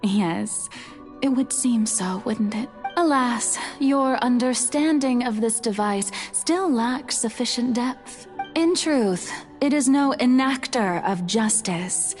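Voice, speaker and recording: An actress playing a serene, playful, centuries-old goddess in a video game, speaking in a theatrical, gently teasing and formal way. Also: female voice, fairly high pitch, slow. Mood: composed, knowing. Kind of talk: scripted video game acting. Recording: long reverberant hall, music underneath. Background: music.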